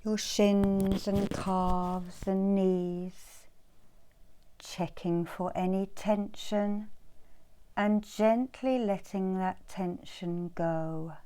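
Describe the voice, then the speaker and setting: A woman guides a meditation at a very leisurely pace. Her voice is soft-edged and warm, with a smooth, clean tone. She gives soothing instructions gently, repeating phrases and leaving pauses. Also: medium pitch, fairly even intonation. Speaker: meditation teacher leading a guided visualisation.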